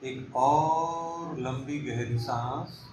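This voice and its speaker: A man guides a meditation at a slow, deliberate pace, leaving long pauses between phrases. His manner is reverent and soothing. He sounds set back from the microphone in an echoey room, with faint noise behind him. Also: medium pitch. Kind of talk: guided meditation in Hindi, soft instruction. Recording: roomy, echoing, distant mic with background noise.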